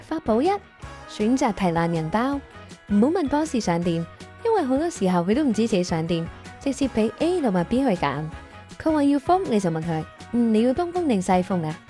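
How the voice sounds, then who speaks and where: A woman's voice: mid-range voice, warm tone, close to an everyday voice. A woman playing an eager, anxious junior employee who also presents a comedic workplace radio drama.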